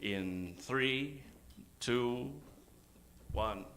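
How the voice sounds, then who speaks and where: A man hosting as a theatrical mock old-time radio announcer, speaking dramatically with suspenseful pauses and sudden emphasis. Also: mid-range voice. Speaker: announcer and host of an improvised radio show. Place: theater stage. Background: live keyboard music.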